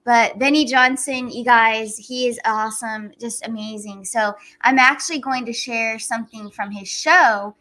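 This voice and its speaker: A woman hosting a casual livestream, chatty and excitable, laughing often as she talks to her viewers. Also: fairly high pitch, measured pace, hardly any pauses. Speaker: livestream host.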